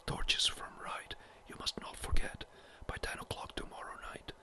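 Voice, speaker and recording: Whisper-reader doing a brisk old rector. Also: natural voice. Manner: brisk, a little impatient. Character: fretful elderly village rector, a man.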